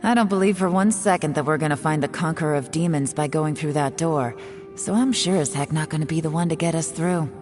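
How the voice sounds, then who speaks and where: A woman voicing a secretive female spy who speaks in a cool, dry, unhurried way. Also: low voice, lightly acted. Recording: game audio with music. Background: game music.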